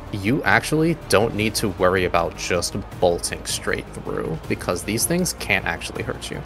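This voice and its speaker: Game master, male, low voice, casual improvised narration over clear background music.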